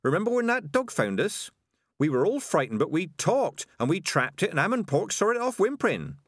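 A man's voice: high-pitched, big pitch swings, brisk, no echo, studio quality, pitched above the performer's own voice. A man voicing a nervous young male rat assistant in an audiobook story. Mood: worried.

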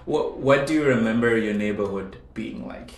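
A man's voice: on the low side, moderate pace, roomy sound. A man hosting a podcast, asking questions and often repeating words as he starts them. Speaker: podcast host. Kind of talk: interviewing and scripted intro.

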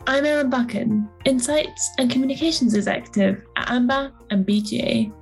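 A woman's voice: fairly high pitch, moderate pace, gravelly, soft background music. A woman hosting a podcast, reading a polite, scripted introduction and then asking the guest her questions. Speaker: podcast host.

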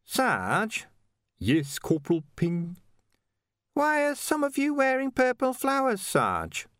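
A man voicing a nervous, eager young male watch corporal who talks in a high, anxious rush. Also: wildly swooping pitch, slow pace, a bit bright, dry recording, clean studio sound, heavily acted. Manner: high, anxious, stammering over itself.

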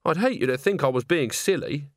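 A man's voice: fairly high pitch, animated, a little fast, no room sound, clean studio sound. A man voicing an earnest, anxious young woman who speaks hesitantly and doubts herself, in an audiobook story. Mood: embarrassed. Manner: hesitant, stammering.

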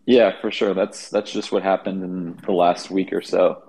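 A man with a deep, flat, even voice speaking casually as a guest. His delivery is relaxed and to the point. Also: moderate pace, creaky, slight background noise, poor audio. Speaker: guest developer. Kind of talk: casual chat.

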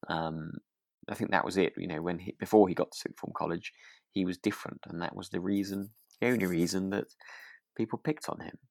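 Creaky, low-voiced man, hesitant chat. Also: steady tone, darkish tone, no room sound. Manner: hesitant, halting phrasing. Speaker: podcast co-host. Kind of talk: relaxed discussion of a radio drama.

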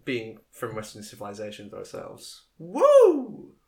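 A man co-hosting a casual podcast chat, joking around with sudden jumps in pitch and trailing off into quiet asides. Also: wildly swooping pitch, unhurried. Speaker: podcast co-host.

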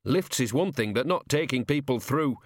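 A man's voice: medium pitch, expressive, normal speed, dry recording, clean studio sound, pitched above the performer's own voice, close to an everyday voice. A man voicing a resentful working-class cart driver who mutters and grumbles colloquially in an audiobook. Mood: resentful grumbling. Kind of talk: audiobook character voice.

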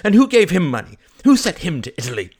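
A man's voice: fairly high pitch, normal speed, gravelly, husky, voice pushed up. A man voicing a giddy, overwrought young woman in an audiobook, theatrical, breathless and stammering, with a proud, tearful edge and brittle laughter. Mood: angry, bitter. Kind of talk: audiobook character acting.

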